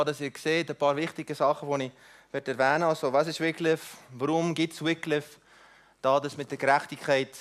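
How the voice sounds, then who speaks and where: A middle-aged man whose first language is not German gives an earnest church talk about mission, halting often with restarts and fillers. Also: mid-range voice. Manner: earnest, inviting. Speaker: mission speaker.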